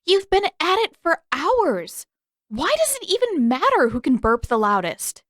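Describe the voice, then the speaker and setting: A woman voicing an exasperated, sharp-tongued teenage girl in a storybook, snapping and sarcastic. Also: very high-pitched, wildly swooping pitch, measured pace, no room sound, clean studio sound, pitched above the performer's own voice, exaggerated voice.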